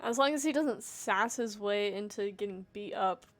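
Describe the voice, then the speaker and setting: A woman's voice: medium pitch, normal speed. A young adult woman streamer giving casual live reactions, talking back to game characters in a slangy, joking way.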